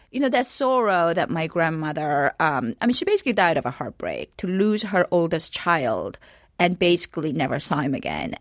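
A woman's voice: on the low side, dry recording. A reflective woman from South Korea speaks earnestly, often restarting her sentences as she gives interview answers. Her medium voice is picked up dry and close on a studio microphone, with no room sound. She sounds middle-aged. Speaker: author and journalist guest.

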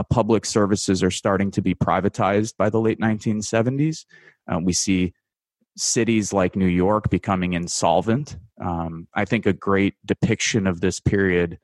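Low-voiced man in his thirties. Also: dry recording. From United States. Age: 30s. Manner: measured, hesitant, many fillers and restarts. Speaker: guest writer. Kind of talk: radio interview, analytical commentary.

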